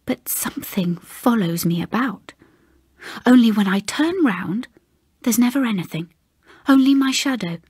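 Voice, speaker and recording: A woman's voice: on the high side, expressive, a little slow, long pauses, darkish tone, husky, no room sound, heavily acted. A woman voicing a gloomy, uneasy English husband in a ghost story, brooding and hesitant. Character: gloomy, uneasy husband haunted by something unseen.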